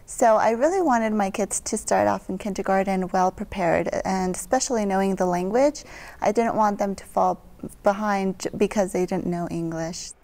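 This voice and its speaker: A woman's voice: mid-range voice, normal speed, a little mellow. A young Mexican-American woman whose first language is Spanish, a parent on a studio panel, speaking thoughtfully with fillers. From Mexican-American family. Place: dark TV studio set. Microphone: lapel mic.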